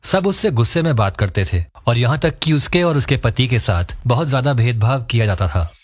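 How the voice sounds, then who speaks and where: A man's voice: mid-range voice, animated, fairly quick, husky, dry recording, lo-fi sound. A man reading scripted narration for a cartoon family story.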